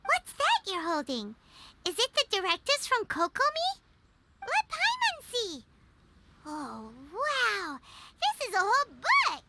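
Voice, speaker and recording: A woman voicing a tiny, chirpy floating fairy companion in a video game, with a squeaky childlike voice and an excitable way of blurting out questions. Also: slow, very noisy, exaggerated voice. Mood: curious. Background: game music.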